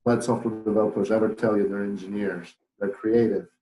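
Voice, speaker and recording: A man's voice: lowish voice, fairly even intonation, brisk, dark tone, echoey room, slight background noise, poor audio. A middle-aged man with a Polish accent, a podcast guest who talks thoughtfully with many fillers and restarts. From Poland. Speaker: guest, business owner. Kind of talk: podcast interview.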